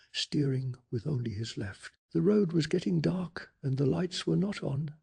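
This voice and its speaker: A man narrating a story in a calm, measured, gentle soft-spoken ASMR style. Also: fairly high pitch, vocal fry, husky. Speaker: narrator of a bedtime story channel.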